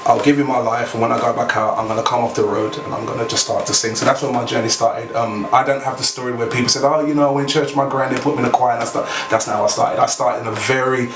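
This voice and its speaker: A man's voice: mid-range voice, level tone, fast talker, hardly any pauses. A man, a gospel singer telling his story on a podcast in a preachy, rhyming way. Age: 40s. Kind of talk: casual storytelling. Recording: echoey room, mic far off, noisy.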